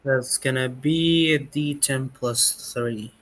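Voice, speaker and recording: A man running a tabletop role-playing game as game master, making quick rules calls and describing the scene. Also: medium pitch, fairly bright tone, faint music behind.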